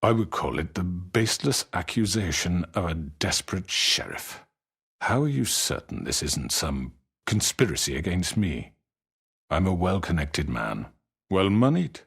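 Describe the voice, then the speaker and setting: A menacing video game crime lord. His voice is very deep and husky, and it glides up and down expressively. He speaks with formal, unctuous courtesy, suave but threatening. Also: big pitch swings, fairly bright tone, exaggerated voice. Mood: coolly mocking. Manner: unctuous, formal, measured politeness. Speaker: crime boss. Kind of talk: dramatic video game acting.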